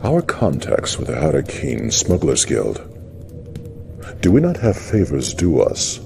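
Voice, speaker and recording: An actor playing a stern, shrewd middle-aged noble lord in a game, speaking with authority. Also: male voice, rumbling low, huge pitch swings, unhurried, creaky, darkish tone, slight background noise, exaggerated voice. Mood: stern, calculating. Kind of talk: video game cutscene acting.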